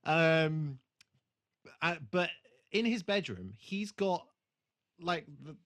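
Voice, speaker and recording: A man's voice: a little high, slow, a bit bright. A man co-hosting a re-watch podcast in casual chat, with a dry, emphatic, sweary way of talking. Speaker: podcast co-host.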